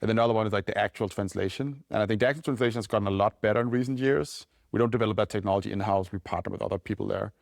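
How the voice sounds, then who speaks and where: A man's voice: on the low side, vocal fry, no room sound, clean studio sound. A man with a Danish accent, a company founder answering questions in a casual podcast interview, talking loosely with lots of "like" and "right?". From Denmark. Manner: quick, loose talk full of "like" and "right?".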